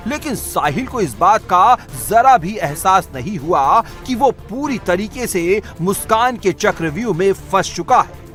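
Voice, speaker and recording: High, bright, animated man narrating crime news. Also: measured pace, hardly any pauses. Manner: sensational, emphatic delivery. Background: background music.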